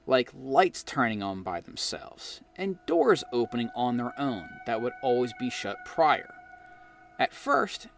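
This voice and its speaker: A middle-aged American man from New Jersey narrating his own eerie experiences with dramatic pauses. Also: mid-range voice. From New Jersey, United States. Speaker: guest storyteller reading own experience. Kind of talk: personal ghost story narration. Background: background music.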